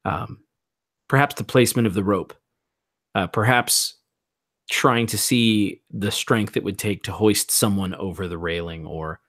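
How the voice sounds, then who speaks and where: A man playing a tabletop roleplay game, thinking aloud in a deliberate, musing way. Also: fairly low pitch, a bit bright, no echo, studio quality.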